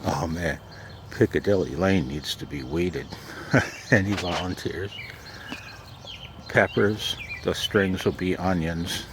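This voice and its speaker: A man with a gravelly voice chatting casually in a rambling, self-deprecating way for his vlog. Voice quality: low, gravelly. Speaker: amateur radio vlogger. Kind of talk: casual chat. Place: outdoors in a backyard garden and deck. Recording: outdoor background noise.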